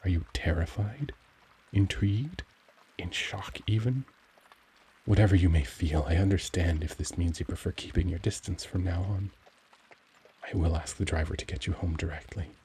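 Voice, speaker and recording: A man playing a gentle, cultured young vampire in an intimate ASMR roleplay, speaking formally and tenderly as he struggles for control. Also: low voice, normal speed, husky. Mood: anguished, tender. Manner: formal, courtly, tender, brooding.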